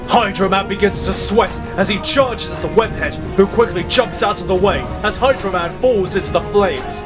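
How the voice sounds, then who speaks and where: Guy narrating a superhero audio drama, highish voice, really theatrical, music behind him. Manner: theatrical, vivid storytelling.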